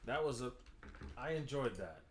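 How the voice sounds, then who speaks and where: A man joining a podcast chat, speaking softly and hesitantly. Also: mid-range voice, very animated, moderate pace, a little hiss or hum. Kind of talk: casual chat.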